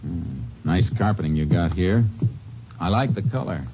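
A steady, dry-witted lawman with a very deep voice, calm and firm as he narrates and questions. The sound is muffled, like an old radio broadcast, with faint music underneath. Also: normal speed. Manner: calm, firm, dryly sardonic. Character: steady, dry-witted male Texas Ranger. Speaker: narrator and lead character. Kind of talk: 1950s radio drama acting.

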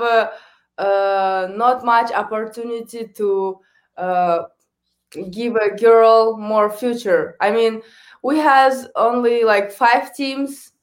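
Slow-spoken Kazakh-accented woman. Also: a little high. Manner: hesitant, searching for words in non-native English. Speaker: hockey coach and guest. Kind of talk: casual interview answers.

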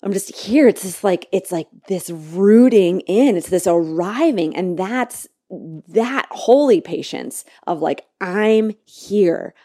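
A woman hosting a podcast, talking in a reflective monologue that halts and restarts often and slows to stretch out key words. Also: mid-range voice, mellow, no echo, studio quality. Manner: halting, searching, many restarts and fillers; slow, drawn-out emphasis on key words. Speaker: podcast host.

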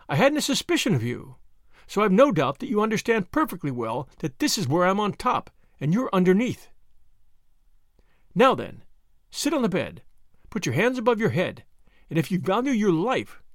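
A man's voice: sits high, no echo, studio quality, exaggerated voice. A man voicing a cold, mocking, imperious villainess in an audiobook, with a commanding, sneering delivery. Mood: smug, mocking. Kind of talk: audiobook character acting.

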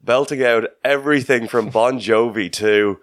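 A man's voice: medium pitch, normal speed, no room sound, clean studio sound. A man co-hosting a sports podcast in casual conversation. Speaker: podcast co-host.

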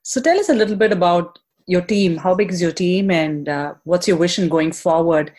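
A woman's voice: fairly low pitch, normal speed, a little mellow. A woman hosting a podcast, asking questions in an upbeat, encouraging way. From Chennai, India. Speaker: podcast host. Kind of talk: podcast interview.

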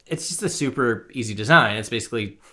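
A man's voice: mid-range voice, expressive, quick pace, pushed and bright, a little hiss or hum. A man co-hosting a podcast, chatting casually as a fan in rambling bursts peppered with filler words. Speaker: podcast co-host. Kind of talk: casual fan review chat.

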